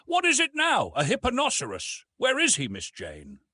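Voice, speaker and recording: A man performs a fussing, fretful maidservant woman from a comic moment in a storybook. The voice is pushed high and swings about, folksy and exclamatory. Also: huge pitch swings, normal speed, sharp tone, no echo, clean studio sound, heavily acted. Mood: startled. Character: excitable, fretful, fussing maidservant who mangles big words.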